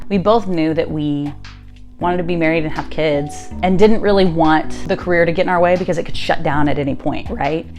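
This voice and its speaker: A woman in her late twenties with a deep voice, candidly telling her own story to camera in a chatty vlog, with asides and rhetorical questions. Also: darkish tone. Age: late 20s. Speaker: content creator and musical theatre actress.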